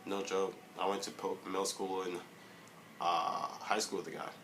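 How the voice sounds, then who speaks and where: Young male vlogger, low monotone voice, hesitant and filler-heavy, faint hiss behind. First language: English. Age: young adult. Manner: halting, many fillers and restarts. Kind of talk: casual motivational monologue.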